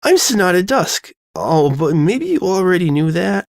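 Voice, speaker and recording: Man putting on a bubbly, high-pitched teenage girl. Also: very animated, normal speed, vocal fry, full character voice. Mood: bright, gushing. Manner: bubbly, scatterbrained, hesitant. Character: ditzy, emotional, cheerful teenage girl.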